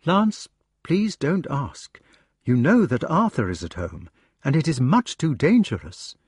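A male narrator puts on a storybook lady, sensible and sharp-tempered, no longer young. The voice is lifted high and husky, firm and plaintive as she reproves her lover. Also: very animated, unhurried, dry recording, clean studio sound, heavily acted. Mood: wary, firm. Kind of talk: audiobook character dialogue.

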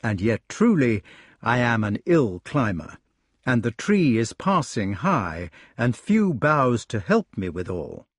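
A courteous but weary knight in a read-aloud tale answers in formal, resigned words, voiced by a male narrator. His phrasing rises and falls with a lively lilt. The sound is dry and close. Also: mid-range voice, slow pace, no echo.

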